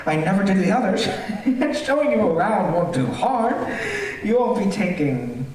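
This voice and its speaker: A man's voice: sits high, very animated, normal speed, a little mellow. A man playing a vain, gloating serial killer in an old-time radio thriller on stage, with theatrical, gleeful cackling and relishing delivery. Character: gloating, vain male serial killer showing off his lair. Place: theater, live-streamed stage performance. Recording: echoey theater stage, distant, faint noise.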